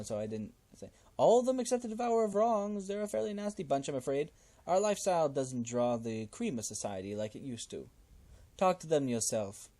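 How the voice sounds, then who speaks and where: A man's voice: on the high side, vocal fry, dark tone, voice pushed up, exaggerated voice. A man voicing a fussy, genteel old cannibal cult leader in a game, with a polite, wordy, fluttery manner.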